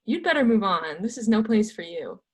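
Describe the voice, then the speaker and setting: Woman playing a curt, husky old canon. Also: medium pitch, big pitch swings, brisk. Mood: stern, dismissive. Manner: terse, dismissive, wary. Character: guarded, curt old male astronomer and church canon. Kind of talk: readers theatre performance of a history play.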